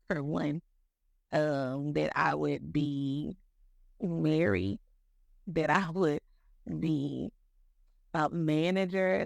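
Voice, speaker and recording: A speaker in their thirties talking faith in a loose, rambling way on a podcast. The voice has a heavy gravelly fry throughout. It is recorded close and completely dry. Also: medium pitch, no echo. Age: 30s. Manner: rambling, heavy use of "like" and "you know", repeats words. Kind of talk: casual faith talk.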